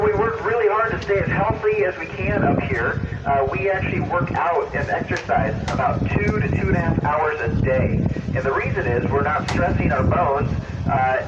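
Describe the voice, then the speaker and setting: A man with a fairly high voice answers questions over a dull, very noisy radio transmission. He explains things in an upbeat, slightly halting way, and his voice sounds distant. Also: reverberant.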